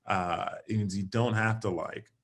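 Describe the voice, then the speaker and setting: Animated deep-voiced man laughing easily. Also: measured pace. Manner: animated, laughs often, quotes himself in lively bursts. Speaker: interview guest, stage actor and singer. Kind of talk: casual conversational interview.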